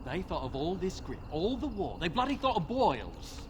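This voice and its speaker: A man's voice: high voice, wildly swooping pitch, moderate pace, background noise. A man playing an earthy, cynical commoner in a fantasy game, theatrical and sardonic as he philosophizes and grumbles. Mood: incredulous, disgusted. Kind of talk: fantasy video game dialogue.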